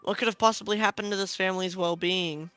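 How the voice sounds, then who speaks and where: A man's voice: sits high, steady tone, quick pace, sharp tone, no room sound, faint music behind. A man playing a mock-cheerful, gleefully menacing villain bear in an amateur game dub. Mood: mock concern. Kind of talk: fan-dub game villain announcement over speakers.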